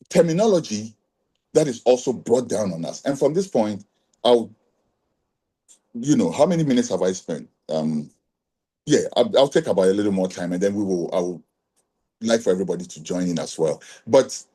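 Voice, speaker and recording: Man addressing a group: husky, with a lively rising and falling delivery. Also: on the low side. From Ghana. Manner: emphatic, asks rhetorical questions. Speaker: speaker at a Rastafari community discussion. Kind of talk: reasoning talk to a group.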